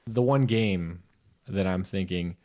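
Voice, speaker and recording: A man with a low-pitched voice chats as a podcast co-host. His delivery is informal and conversational, like an easy discussion among co-hosts. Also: slow pace, darkish tone. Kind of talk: casual chat discussing video game movies.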